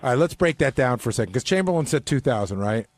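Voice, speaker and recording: A man's voice: mid-range voice, lively intonation, quick pace, no echo. A talkative man chatting casually on a radio show panel, reasoning things out aloud. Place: radio studio.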